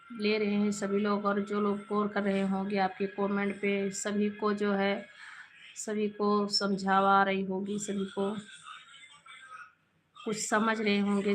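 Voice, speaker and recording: A hoarse woman, hosting a casual live stream, reading out viewers' names and greeting them. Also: medium pitch, a little hiss or hum, rough recording.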